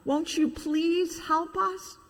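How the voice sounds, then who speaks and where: Woman voicing high, pleading little storybook toys. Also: fairly even intonation, takes it slowly, fairly bright tone, heavily acted. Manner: exclaiming, childlike pleading. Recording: reverberant hall.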